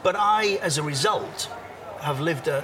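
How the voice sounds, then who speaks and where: A man in his sixties, an interview guest, giving measured, self-assured answers with occasional restarts. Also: a little high, far from the mic. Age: 60s. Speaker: interview guest, former broadcaster. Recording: outdoor location, audible city noise.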